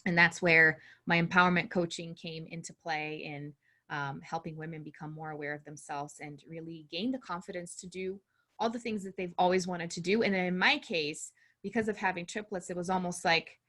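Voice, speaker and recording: A woman in her thirties from Brazil, with Portuguese as her first language, speaks in a fairly low voice. She is a guest talking openly about her life and laughs easily between thoughts. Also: fairly quick, pushed and bright. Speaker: empowerment coach, guest. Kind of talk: interview conversation.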